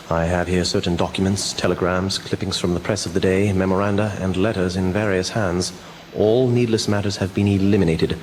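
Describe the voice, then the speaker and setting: A man plays a solemn gentleman presenting evidence in a radio drama. His voice is very deep, formal and grave, with faint noise behind it. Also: close to an everyday voice, rough recording. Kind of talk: solemn radio drama narration, addressing audience.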